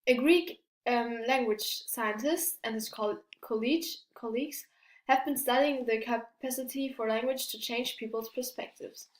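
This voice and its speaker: A woman's voice: a little high, moderate pace. A young woman giving a class presentation in English, hesitant, with many restarts and fillers and non-native phrasing. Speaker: student presenter. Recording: echoey room.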